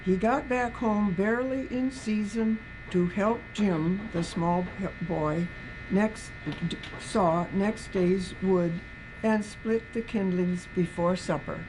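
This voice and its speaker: A woman giving a dramatic reading of a literary story, with deliberate, emphatic phrasing. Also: mid-range voice, background noise.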